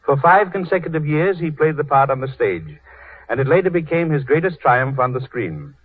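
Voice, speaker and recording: A man's voice: on the high side, animated, moderate pace, no room sound. A man presenting and narrating a 1930s radio drama in a stately, formal, scripted style. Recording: tinny, husky 1930s radio recording.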